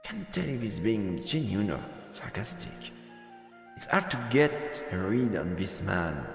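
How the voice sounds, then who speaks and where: A man streaming a visual novel, reading the narration aloud in an expressive storyteller manner with asides. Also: lowish voice, a little slow, creaky. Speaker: streamer, let's player. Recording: echoey reverberant room, music behind. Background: game music.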